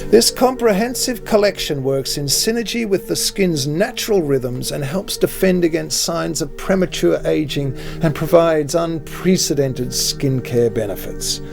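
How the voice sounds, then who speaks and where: Man reading as a gravelly, weary, slick salesman. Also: a little high, measured pace, hardly any pauses, music in the background, pitched above the performer's own voice. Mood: slick pitch. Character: weary, slick male door-to-door cosmetics salesman.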